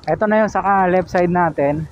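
A man's voice: high-pitched, fairly quick, highs cut off, faint music behind. A man with a Filipino accent vlogging outdoors while traveling a road, talking chattily and politely, with many fillers and tag questions. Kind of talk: casual road vlog narration.

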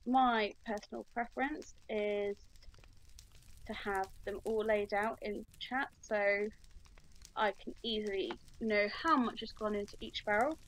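A woman's voice: mid-range voice, steady tone, unhurried, low-quality recording. A woman talking through a game tutorial step by step, casual and chatty, recorded at her computer. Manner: chatty, explains step by step with fillers.

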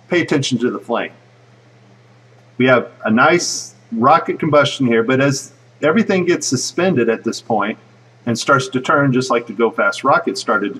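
A man giving casual, speculative commentary over footage in a conversational way, with a slightly husky voice. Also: medium pitch, fairly bright tone, distant mic, rough recording. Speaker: video narrator.

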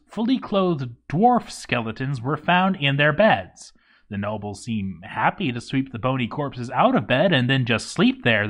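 A man reading a scripted story as narrator, with a wry, sardonic, sweary comic delivery. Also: mid-range voice. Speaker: narrator reading a game playthrough log.

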